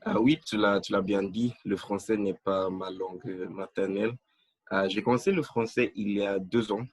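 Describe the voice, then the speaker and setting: Young guy from nigeria, low voice, dry close sound, keeps restarting and saying euh. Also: fairly even intonation, moderate pace, breathy edge, dry recording. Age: young adult. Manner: hesitant, many restarts and fillers, laughs often. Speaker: podcast guest. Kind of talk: casual interview chat.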